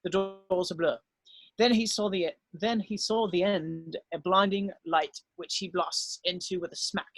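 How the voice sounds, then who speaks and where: High-voiced male narrator, dry sound. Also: steady tone, measured pace, fairly bright tone, no echo. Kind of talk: reading screenplay stage directions aloud.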